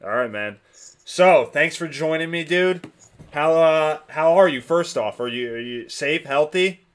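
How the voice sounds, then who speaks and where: A man from New Jersey hosting a casual interview podcast, relaxed and full of fillers, talking over a remote call. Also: fairly high pitch, a little slow. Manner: relaxed, filler-heavy talk. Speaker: podcast host.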